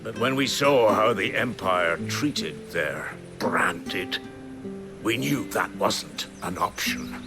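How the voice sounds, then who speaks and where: Earnest older village mayor, fantasy game; highly animated, unhurried, folksy, music underneath. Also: male voice. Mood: somber, recounting. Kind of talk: dramatic fantasy game acting.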